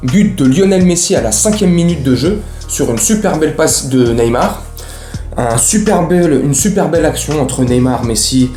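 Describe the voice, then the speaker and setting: A man's voice: a little high, animated, moderate pace, mellow, soft background music. A man giving a casual, slangy football recap as host, talking straight to his viewers. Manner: slangy, chatty, addresses viewers directly. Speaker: host of a football fan show. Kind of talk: casual match recap to camera. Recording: roomy, echoey room sound.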